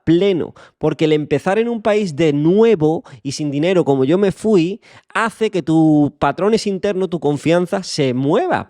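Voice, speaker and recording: Blunt, high-voiced man lecturing. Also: a little mellow, no room sound, clean studio sound. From Extremadura, Spain. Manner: blunt, swears freely, lecturing the listener directly. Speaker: podcast host. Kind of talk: motivational monologue.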